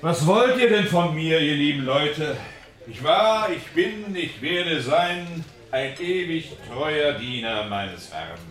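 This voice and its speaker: Servile pleader, slow, echoing. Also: male voice, on the high side, animated, fairly bright tone. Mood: pleading. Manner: declamatory, drawn-out, pathetic. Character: pleading, servile man on trial, declaimed as film role. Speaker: actor in a radio drama. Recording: roomy echo, distant from mic.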